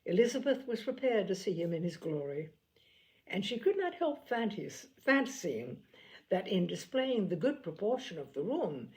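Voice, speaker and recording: A woman narrating a classic novel in a measured, unhurried storytelling voice. Her pitch sits in an ordinary middle range, and she now and then stumbles on a word and corrects herself. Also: sing-song, a little mellow, poor audio. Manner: measured storytelling, occasional slips and self-corrections. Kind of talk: audiobook narration.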